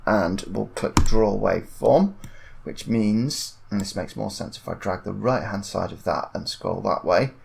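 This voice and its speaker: A man giving an informal software tutorial, thinking aloud and correcting himself in a hesitant way. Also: medium pitch, creaky. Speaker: tutorial presenter.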